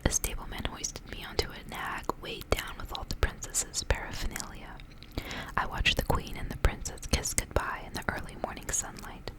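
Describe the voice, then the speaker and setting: A woman reading aloud in a soft, breathy, unhurried whisper, in the style of an ASMR storyteller. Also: poor audio.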